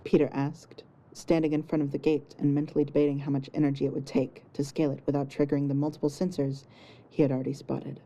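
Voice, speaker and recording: A woman's voice: sits low, level tone, fast, dark tone, poor audio. A woman reading scripted fiction narration as the storyteller of an audio story.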